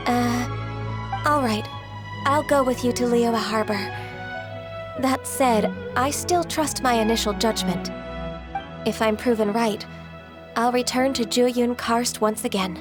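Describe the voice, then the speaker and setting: Gentle, high-voiced young immortal adept. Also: female voice, takes it slowly, long pauses. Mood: troubled, hesitant. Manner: polite, formal, hesitant, earnest. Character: gentle young female half-immortal adept, polite, earnest, self-doubting. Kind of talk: video game voice acting. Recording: music behind the voice.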